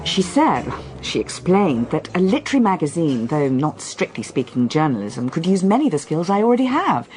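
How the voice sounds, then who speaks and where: A woman's voice: deep voice, very animated, fairly quick, few pauses, music in the background, low-quality recording. A woman acting in a radio drama as an earnest, restless reporter, articulate but hesitant and questioning. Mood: wry, chatty.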